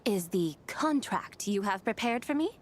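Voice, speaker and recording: A woman's voice: medium pitch, expressive, normal speed, slight background noise, lightly acted. An actress playing a haughty, amused immortal woman in a video game, with arch, teasing, old-fashioned formal diction. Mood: skeptical, arch.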